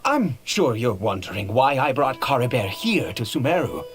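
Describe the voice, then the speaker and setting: An actor playing an ancient cursed nobleman in a video game, frail, desperate and unstable, speaking theatrically and haltingly with erratic swings. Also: medium pitch, big pitch swings, breathy edge. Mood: earnest, brooding. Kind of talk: dramatic video game voice acting. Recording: game audio with faint music behind.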